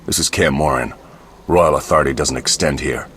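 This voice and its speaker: A hotheaded monster hunter with an extremely deep voice. He is scornful and combative, always spoiling for a fight in this game performance, with faint noise behind him. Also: normal speed, fairly bright tone. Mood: scornful, defiant. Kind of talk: video game voice acting.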